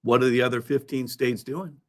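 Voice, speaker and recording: A man hosting a news countdown, part reading and part ad-libbing his commentary in a halting way, with wry asides. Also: mid-range voice, steady tone. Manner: halting, with frequent throat clearing and wry asides.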